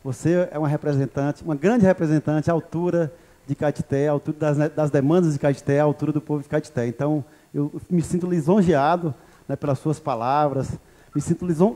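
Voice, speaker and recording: A man's voice: fairly high pitch, fairly even intonation, fairly quick, dark tone. A man, a mayor hosting an online interview show, speaking formally and courteously. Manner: formal, courteous, repetitive praise.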